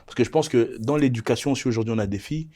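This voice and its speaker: Husky fast-talking man. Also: medium pitch, warm tone. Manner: insistent, eager to finish his point. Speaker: podcast panelist. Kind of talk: casual panel discussion.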